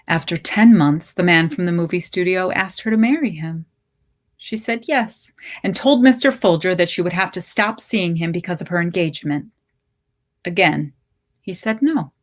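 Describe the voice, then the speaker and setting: A woman narrates a literary short story in a slightly low voice. She phrases deliberately, giving each clause its own measured space. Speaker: story reader.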